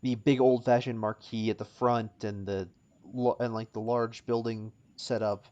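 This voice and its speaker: A man's voice: mid-range voice, flat delivery, measured pace, mellow, highs cut off. A man improvising narration as a tabletop game master, often searching for words and repeating phrases as he describes things.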